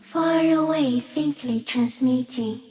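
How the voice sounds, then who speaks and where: A woman voicing an ancient, serene giant stick insect in a video game, musing softly in halting, deliberate fragments. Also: high voice, faint music behind. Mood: wistful, weightless. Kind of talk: video game character voice acting.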